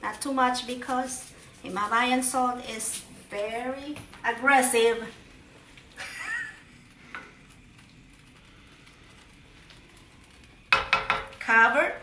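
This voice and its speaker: Cheery high-voiced woman cooking, roomy kitchen. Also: sing-song, extremely slow, long pauses, sharp tone, a little hiss or hum. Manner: playful, jokey, cheery "ta-da" exclamations. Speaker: home cook vlogger. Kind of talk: casual cooking demo. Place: home kitchen, indoors. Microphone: far camera. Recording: roomy kitchen echo, distant mic, faint kitchen clatter.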